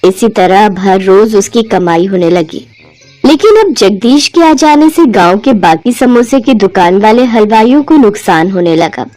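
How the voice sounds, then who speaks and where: A woman narrating a moral cartoon story in a clear, steady storytelling voice. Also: mid-range voice, measured pace, dry recording. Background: soft background music.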